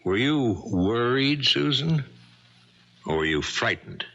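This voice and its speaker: An actor playing a guilt-ridden, suspicious husband in a 1970s radio mystery, speaking urgently and haltingly. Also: male voice, mid-range voice, big pitch swings, vocal fry, pushed and bright, muffled recording, heavily acted. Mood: accusing. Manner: urgent, halting, repeats himself.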